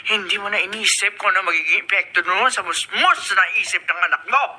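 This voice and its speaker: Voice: mid-range voice, fairly quick, bright, forceful tone. An actor in a radio drama voicing a stern, proud older mother who scolds her daughter. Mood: reproachful. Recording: noisy radio broadcast.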